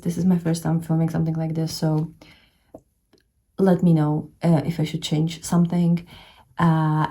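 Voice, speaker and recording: A woman's voice: on the low side, mellow. A young woman with a Czech accent, chatting in a book vlog, rambling with many fillers and restarts. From Czech Republic. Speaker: book vlogger. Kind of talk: casual reading vlog chat. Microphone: camera showing only her hands.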